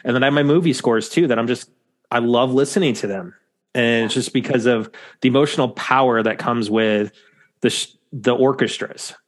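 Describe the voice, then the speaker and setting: A man's voice: mid-range voice, normal speed. A man hosting a podcast in casual chat, rambling with lots of fillers. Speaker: podcast host.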